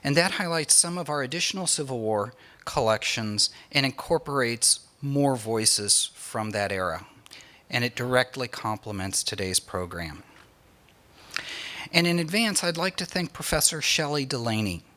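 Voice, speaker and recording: A middle-aged American man hosts a public talk from a stage microphone. His voice is bright and mid-pitched, and he reads his formal introductions in a measured way. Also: expressive. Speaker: event host introducing speakers. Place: indoor stage at a library event. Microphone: microphone on a stand.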